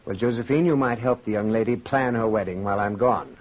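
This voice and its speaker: An actor in an old-time radio drama playing a jealous, domineering aristocratic husband, pompous and mockingly courteous with silky sarcasm. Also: male voice, fairly low pitch, fairly quick, natural voice. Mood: smug, veiled menace. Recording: muffled vintage radio recording.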